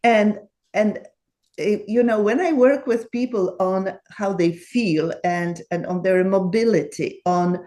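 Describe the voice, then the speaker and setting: A woman's voice: mid-range voice, slow, mellow. A woman in her seventies from Poland with a Polish accent, answering interview questions earnestly with many fillers and restarts. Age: 70s. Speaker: guest author.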